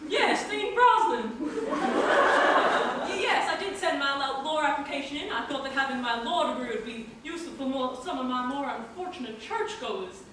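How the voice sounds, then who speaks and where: Recorded from afar in a very echoey hall, this is an irreverent priest in an amateur stage comedy, acted by a woman. The voice is high and swoops widely, indignant and theatrical. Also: animated, normal speed, fairly bright tone. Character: irreverent, indignant priest with a failed law degree. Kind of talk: amateur stage play acting. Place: college theatre stage, picked up from a distance. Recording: very echoey stage hall, distant mic, faint noise.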